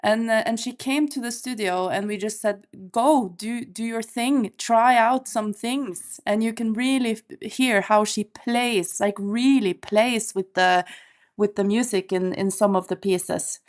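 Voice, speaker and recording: A woman folk musician answering interview questions in an unhurried, thoughtful way with frequent ums. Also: fairly high pitch.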